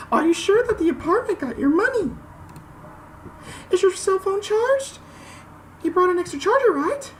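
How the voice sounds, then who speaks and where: Man putting on a high-pitched fussing mom. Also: slight background noise, low-quality recording. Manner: fretful, nagging questions. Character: worried, fussing mother of a grown son.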